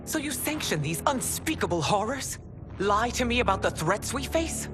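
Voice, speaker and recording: An actress playing a proud, imperious empress in a video game cutscene, speaking in a regal, commanding and haughty way. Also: female voice, on the high side, big pitch swings, normal speed, over music. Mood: angry. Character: proud, imperious woman empress ruling a realm. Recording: loud orchestral score underneath.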